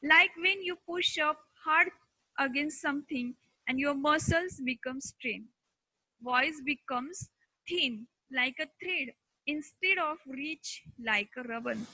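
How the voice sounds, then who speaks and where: Female instructor, Indian accent, slow and deliberate scripted lesson read, close dry sound. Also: sits high, pushed and bright, dry recording, rough recording. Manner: deliberate, halting phrasing in short chunks.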